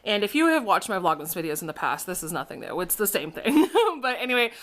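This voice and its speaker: A young woman in her twenties chatting casually on a home vlog, her voice slightly raspy from dust, with a chatty, upbeat manner. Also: mid-range voice, big pitch swings, fast, a bit bright. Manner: chatty, upbeat, filler-heavy. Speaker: vlogger. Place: home, indoors. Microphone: camera propped up nearby.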